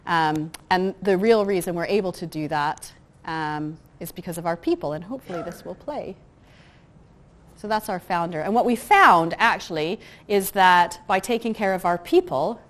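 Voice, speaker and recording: A middle-aged woman with a soft, quiet voice giving a chatty conference talk about customer service, full of ums and light asides. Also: fairly low pitch. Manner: chatty, frequent ums and uhs, self-deprecating asides. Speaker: conference speaker. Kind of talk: conference talk to a live audience. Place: conference room with audience.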